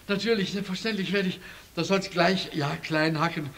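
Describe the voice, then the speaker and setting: A flustered forester in a children's radio play. He is high-voiced and out of breath, stammering and repeating himself in meek, worn-out obedience. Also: normal speed, a bit bright, slight background noise. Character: flustered, exhausted, meekly obedient forester under a spell.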